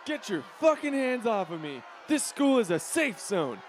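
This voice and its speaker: A man's voice: sits high, sing-song, normal speed, some chatter behind, a little hiss or hum. A man playing a pampered, bratty male student in a comedy series, petulant and full of bluster. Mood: indignant.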